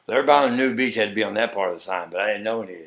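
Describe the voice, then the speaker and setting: A middle-aged man with a Texas accent tells his story at length, his voice sitting somewhat low. He rambles and slips into acting out the words of the people in it, in a loose, conversational way. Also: very fast, vocal fry, fairly bright tone. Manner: rambling, acts out quoted dialogue. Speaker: interview guest.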